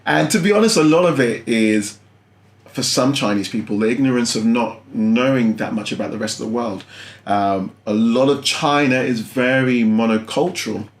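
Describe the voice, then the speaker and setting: A man from London hosting a food channel, chatting casually and laughing often as he reacts to a video. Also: medium pitch. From London, England. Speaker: YouTube food channel host. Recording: roomy room sound.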